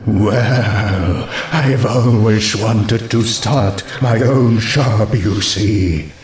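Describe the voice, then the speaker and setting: A man voicing a gentle, shy female ghost pony seamstress, hesitant and airily polite, in a story reading. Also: fairly low pitch, roomy sound, slight background noise.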